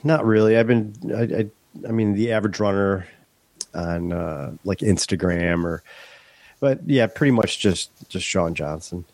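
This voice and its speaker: A man's voice: on the low side, measured pace, darkish tone, no echo. A middle-aged man from Western New York, stuffed up from a head cold, chatting candidly as a podcast guest with many restarts. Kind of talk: casual interview chat.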